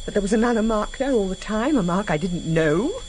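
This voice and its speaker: Actress as proud wounded wife, insistent, very animated pitch, old british radio play, slight hiss. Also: female voice, a little fast, lightly acted. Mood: hurt disbelief. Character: proud, wounded, defiant wife of missing politician. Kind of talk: British radio drama acting. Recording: noisy vintage radio recording.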